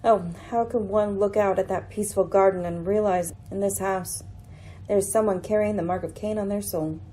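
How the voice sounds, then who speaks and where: A woman's voice: medium pitch, fairly even intonation, normal speed, warm tone, a little hiss or hum, lightly acted. A woman playing a shrewd, composed lady detective in a radio mystery play, speaking with poised, formal, dry irony. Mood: pensive. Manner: poised, formal, dryly pointed. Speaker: actor in an amateur radio play. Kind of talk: radio mystery drama acting.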